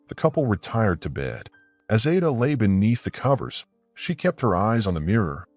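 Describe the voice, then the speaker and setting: A man's voice: lowish voice, no room sound. A man narrating a scripted folktale as a dramatic storyteller.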